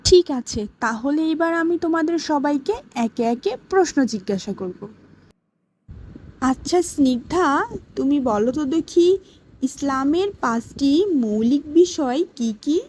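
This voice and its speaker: Woman playing a high-pitched, animated cartoon schoolteacher. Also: slight background noise, low-quality recording. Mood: questioning. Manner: slow, deliberate, instructive, addressing pupils directly. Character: female schoolteacher patiently teaching Islamic basics to children. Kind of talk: scripted cartoon lesson narration.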